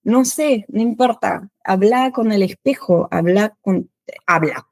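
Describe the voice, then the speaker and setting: A woman in her thirties from France, a French teacher who speaks Spanish with an Argentine accent in a casual chat, lively and laughing often. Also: medium pitch, gravelly, no echo, clean studio sound. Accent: Argentine Spanish with a French background. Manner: lively, laughs often, quick bursts of speech.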